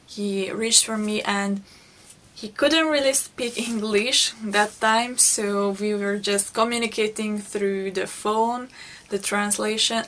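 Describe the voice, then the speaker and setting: A young Hungarian woman with a Hungarian accent, telling her life story in English for her vlog, reflective and unhurried. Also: medium pitch, fairly bright tone. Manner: unhurried, reflective, frequent restarts. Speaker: vlogger.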